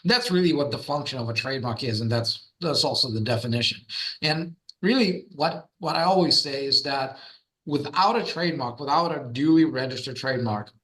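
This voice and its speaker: Russian-accented middle-aged male guest, mid pitch, conversational storyteller. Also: expressive, fairly quick, fairly bright tone, poor audio. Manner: storytelling, frequent fillers and restarts. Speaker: guest, trademark firm founder. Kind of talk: podcast interview.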